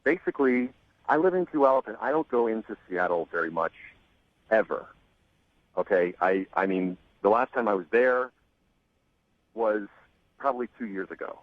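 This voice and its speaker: A man on a phone call to a radio show, speaking hesitantly with many pauses, restarts and "um"s. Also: on the low side, expressive, dry recording. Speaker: caller on the phone. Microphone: telephone. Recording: muffled phone line.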